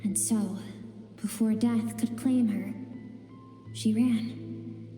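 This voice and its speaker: An actress voicing the gentle, suppressed inner self of a swordswoman in a video game, speaking softly and sorrowfully. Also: on the high side, steady tone, very slow. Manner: soft, sorrowful, pleading. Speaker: game character. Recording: roomy reverb under game music.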